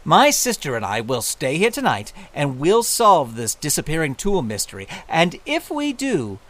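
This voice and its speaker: An actor playing a confident, breezy young magician-detective in a radio comedy mystery, brisk and unflappable. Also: male voice, on the high side, wildly swooping pitch, a bit bright, exaggerated voice.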